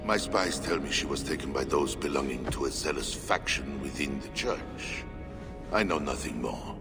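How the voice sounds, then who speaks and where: An actor playing an imperious, pious Frankish king in a video game cutscene, scornful and brooding, speaking theatrically and deliberately with drops into whispers. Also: extremely low pitch. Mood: cool, brooding. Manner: theatrical, slow and deliberate, sighing and dropping to whispers. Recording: loud dramatic music underneath. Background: game score music.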